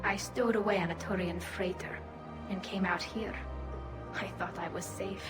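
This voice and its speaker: Gentle young alien woman, earnest as an actress plays her. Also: mid-range voice, fairly even intonation, moderate pace, over loud music, lightly acted. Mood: recounting, hurt. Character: young female alien refugee, gentle, earnest, quietly resilient. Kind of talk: video game voice acting.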